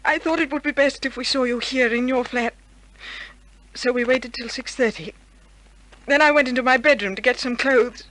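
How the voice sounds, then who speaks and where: An actress playing an anxious, pleading, secretive woman in a 1950s radio crime drama, speaking with earnest, dramatic pauses. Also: sits high, fairly bright tone, rough recording. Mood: anxious explaining. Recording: old radio drama recording with music.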